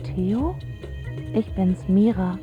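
A woman's voice: mid-range voice, drawn-out words, phone-quality sound, faint music behind. An actress playing a smitten, self-assured teenage girl who narrates her story in a reflective, confiding way for a radio drama. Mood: hopeful. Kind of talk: scripted first-person radio drama narration.

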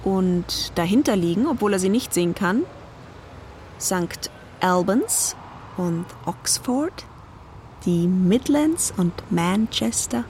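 A woman's voice: mid-range voice, a little mellow, slight background noise. A woman giving a scripted dramatic narration in the third person, as the narrator of a stage play.

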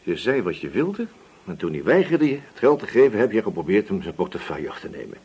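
A shrewd male police inspector in a radio play. His husky voice moves animatedly as he probes and doubts, brisk and in command, from a slightly low register. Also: very animated, darkish tone. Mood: stern, probing. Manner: brisk, questioning, authoritative. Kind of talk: Dutch radio drama acting.

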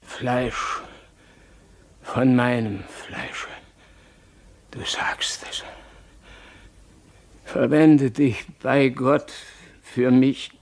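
Tormented, imperious king, slow anguished declamation, creaky voice, theatrical radio drama. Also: mid-range voice. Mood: pleading. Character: tormented, fearful, imperious king haunted by prophecy. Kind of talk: German radio play, theatrical dramatic acting.

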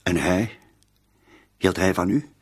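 An actor playing a patient, gently insistent police inspector in a radio drama, questioning tactfully with hesitant, repeated interjections. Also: male voice, deep voice, takes it slowly, husky, heavily acted.

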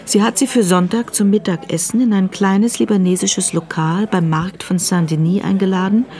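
An actress as the sober, factual narrator of a radio crime drama. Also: female voice, medium pitch, fairly even intonation, moderate pace, mellow, lightly acted.

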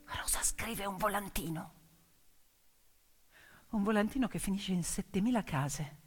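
A woman performing dramatic theatrical storytelling in an emphatic, declamatory manner, with deliberate pauses and repetitions. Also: medium pitch, husky. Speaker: stage storyteller. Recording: piano music behind the voice. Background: piano accompaniment.

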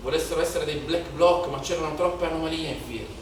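Guy acting a young protester onstage, highish voice, haunted and declamatory, super echoey and far from the mic. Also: quick pace, natural voice. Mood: tense, suspicious. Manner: declamatory, incantatory repetition, swelling from hushed to shouted. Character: young male protester, impassioned, haunted, recounting street riots. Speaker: stage actor performing a monologue. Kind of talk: theatrical dramatic monologue in Italian political theatre. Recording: very echoey theatre, distant mic, noisy.

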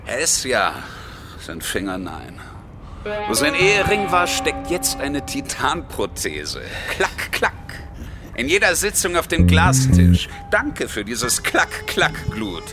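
An actor playing a world-weary, needling police detective in a radio drama, grumbling and muttering. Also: male voice, mid-range voice, wildly swooping pitch, takes it slowly, recorded from a distance, loud music behind the voice. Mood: sardonic, grumbling. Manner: teasing, grumbling, swings from exclamation to muttering. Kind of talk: naturalistic German radio drama acting.

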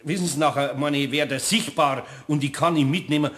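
A man's voice: on the high side, a little fast, lightly acted. A male actor with a Bavarian accent in a radio play, as an earnest, awkward, folksy older craftsman. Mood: hesitant, embarrassed. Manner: hesitant, folksy, plain-spoken. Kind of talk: German radio play acting.

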